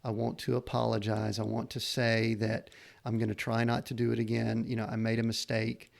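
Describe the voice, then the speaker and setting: A man's voice: fairly low pitch, monotone, a little fast, vocal fry. A middle-aged man hosting a podcast, earnest and rambling as he searches for the words to his questions. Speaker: podcast host. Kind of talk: conversational interview.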